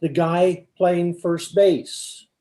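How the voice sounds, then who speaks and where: A performer acts out a confused, increasingly exasperated questioner in a stage comedy double act. The voice sits at a middle pitch and pushes insistently through each question. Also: level tone, drawn-out words, mellow. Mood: puzzled. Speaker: amateur readers theater performer. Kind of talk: staged comic dialogue reading.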